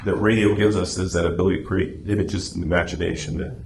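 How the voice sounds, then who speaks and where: Echoey, lower-pitched man telling anecdotes. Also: fairly even intonation, fast, creaky. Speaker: guest speaker, radio drama society officer. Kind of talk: informal club presentation and discussion. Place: club meeting room. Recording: echoey room, faint background noise.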